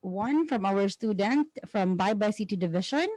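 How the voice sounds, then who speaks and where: A woman's voice: medium pitch, animated, normal speed, a little mellow, dry recording. A woman with a Filipino accent co-hosting a live online school broadcast. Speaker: co-host, moderator. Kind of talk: live co-hosting, echoing and reading questions.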